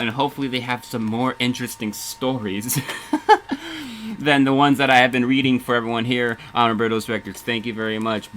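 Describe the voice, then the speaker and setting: A man's voice: mid-range voice, a bit bright, a little hiss or hum. A man hosting a music radio show in casual DJ patter, rambling, joking and self-deprecating. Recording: music playing faintly underneath. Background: faint music.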